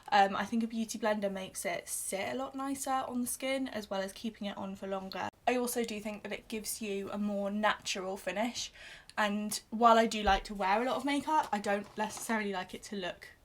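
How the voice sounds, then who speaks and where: A young woman with a British accent talks casually to her camera, in a voice of middling pitch. She is chatty and self-deprecating, with plenty of "um" and "like" as she goes along. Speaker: beauty vlogger. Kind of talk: casual chat while doing makeup on camera. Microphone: camera in front of her.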